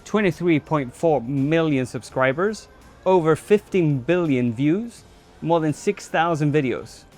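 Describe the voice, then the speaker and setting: A man's voice: on the high side, expressive, unhurried, a little mellow, faint music behind. A man hosting a podcast interview, eager and given to stumbling restarts. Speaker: podcast host.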